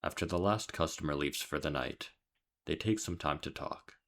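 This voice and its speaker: A man's voice: extremely low pitch, normal speed, a bit bright. A man reading scripted storytelling narration as he reviews a video game. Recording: music under the voice. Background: background music.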